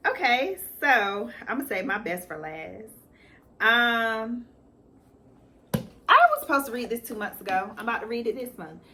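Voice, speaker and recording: A woman's voice: on the high side, expressive, normal speed, sharp tone, slight background noise. A woman co-hosting a casual book chat in a playful, teasing manner. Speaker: co-host of a book channel.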